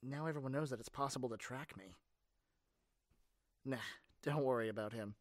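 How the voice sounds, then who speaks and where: A man's voice: mid-range voice, moderate pace, no room sound, studio quality, close to an everyday voice. A man playing a caring but cocky young superhero in an audio roleplay, speaking in an earnest, casual, teasing way. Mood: soft, caring. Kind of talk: amateur ASMR audio roleplay.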